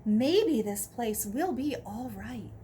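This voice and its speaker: Lady doing a bossy bird wife from a kids book, slow and emphatic, very up and down. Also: a little high, lively intonation, noisy background. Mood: satisfied. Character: fussy, bossy female bird wife, easily dissatisfied. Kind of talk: children's picture book read-aloud.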